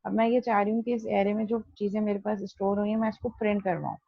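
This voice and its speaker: A woman's voice: medium pitch, fairly even intonation, very fast, creaky, no echo, dull audio. A woman teaching programming in an explanatory, step-by-step way, often asking a question and then answering it herself, mixing in English technical terms. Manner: didactic, step-by-step, poses and answers own questions. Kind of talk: explanatory online coding lesson, Hindi-Urdu mixed with English terms.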